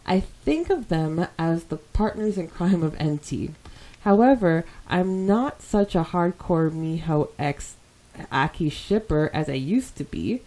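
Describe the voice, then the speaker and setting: A woman hosting a podcast, chatting casually and reading listener comments aloud in an animated way. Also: on the low side, a little slow, low-quality recording. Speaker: podcast host.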